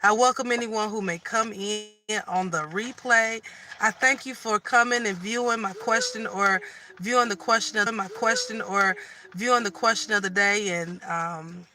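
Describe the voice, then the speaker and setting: A middle-aged woman from Michigan with a slightly raspy voice, chatting casually on a live stream and often restarting her sentences. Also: mid-range voice, moderate pace, bright, forceful tone, a little hiss or hum. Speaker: vlogger.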